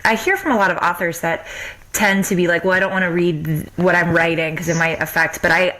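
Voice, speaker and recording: A woman's voice: lowish voice, fairly bright tone, low-quality recording. A woman hosting a podcast and interviewing a guest in a casual, conversational style.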